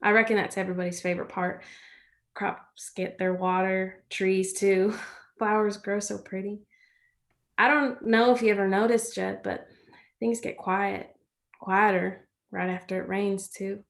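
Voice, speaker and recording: Gentle American farm girl, played by a woman, folksy and comforting, for a stage drama reading. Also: mid-range voice, level tone, a little slow, lightly acted. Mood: soothing. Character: gentle, chatty rural girl, playful and comforting.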